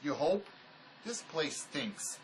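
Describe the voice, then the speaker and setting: Man playing wary, sardonic convict, lively high-ish voice. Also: slow pace, slight background noise, poor audio. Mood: suspicious. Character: wary, sardonic escaped convict and armed robber. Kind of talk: dramatic script performance.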